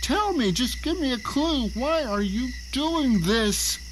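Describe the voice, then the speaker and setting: A man acts out a captive male novelist in an audio drama, recounting his ordeal in a theatrical, exclamatory way. He sounds panicked and indignant. The track is clearly noisy, with insect-like chirping behind the voice. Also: very high-pitched, expressive, takes it slowly, full character voice. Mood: frantic, pleading. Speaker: narrator and lead actor. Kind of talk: dramatic first-person audio drama narration.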